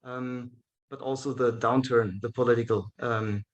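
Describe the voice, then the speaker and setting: A man with a German accent, speaking English as his second language in a relaxed interview. His voice sits in an ordinary middle pitch, and his talk comes in halting pieces, full of "um"s and restarts. He is heard over a video call. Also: highs cut off. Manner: hesitant, many fillers and restarts, laughs often. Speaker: guest, event organizer. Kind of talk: casual interview chat.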